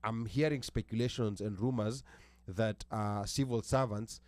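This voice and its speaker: A man with an American accent hosting a panel talk show, speaking haltingly with restarts and reading from notes. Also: fairly low pitch, fairly even intonation, a little mellow, no room sound. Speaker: host.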